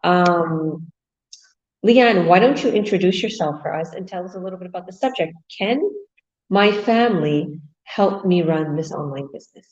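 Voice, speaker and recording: Low-ish woman host, upbeat chatty vibe, muffled lo-fi audio. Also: moderate pace, a little mellow. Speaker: host and business coach. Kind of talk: casual online talk show.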